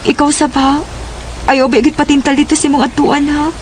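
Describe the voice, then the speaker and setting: A woman's voice: high voice, little pitch movement, a little fast, slight background noise, natural voice. A woman playing a shy, devoted young sweetheart in Cebuano radio drama, with a Cebuano accent. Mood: tender, uneasy.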